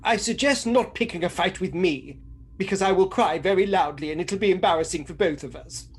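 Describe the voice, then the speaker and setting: A woman playing a mild, sceptical sidekick in a comedic radio play, droll, questioning and deadpan. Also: lowish voice, a little fast, slightly rough.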